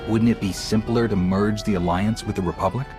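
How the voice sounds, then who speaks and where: A male actor plays a steadfast Jedi hero in a video game. His voice is very deep, with measured, courteous, earnest delivery. Music is clearly heard behind him. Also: steady tone, close to an everyday voice. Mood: calm, earnest. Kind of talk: video game cutscene acting.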